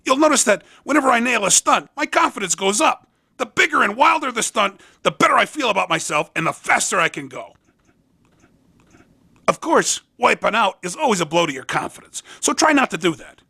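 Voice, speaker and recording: Upbeat young hoverboard promoter dude from a game, super bright and swoopy, cocky slangy pitch. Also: male voice, very animated, a little fast, gravelly, breathy edge, heavily acted. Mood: cocky, instructive. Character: Skid, upbeat young male hoverboard race promoter and racer. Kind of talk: scripted video game character dialogue.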